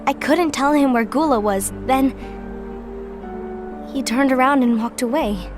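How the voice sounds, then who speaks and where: A woman's voice: high-pitched, unhurried. An actress voicing an anxious, protective young woman in a video game cutscene, with music behind. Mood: worried. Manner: soft, worried. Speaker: game character.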